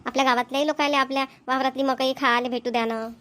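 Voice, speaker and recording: Woman doing doting cartoon village granny, really high voice, chatty farmer's wife, rural varhadi maharashtra accent. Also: steady tone, very fast, fairly bright tone. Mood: homely, practical. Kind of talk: Marathi cartoon comedy voice acting.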